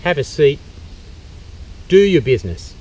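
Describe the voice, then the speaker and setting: A man's voice: on the high side, very animated, measured pace, darkish tone, husky. A middle-aged man with an Australian accent, giving a practical outdoor demonstration as a bushcraft instructor, explaining step by step with some fillers. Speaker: bushcraft instructor and tour guide. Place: eucalyptus forest outdoors. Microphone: camera mic from a few metres away. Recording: distant outdoor camera audio, faint background noise.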